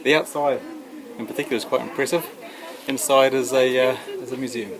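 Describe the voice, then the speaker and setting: A man's voice: medium pitch, steady tone, brisk, a crowd in the background, rough recording. A middle-aged man with a New Zealand accent, giving casual travel narration with dry, wry understatement. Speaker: travel vlogger. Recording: loud vehicle and outdoor noise.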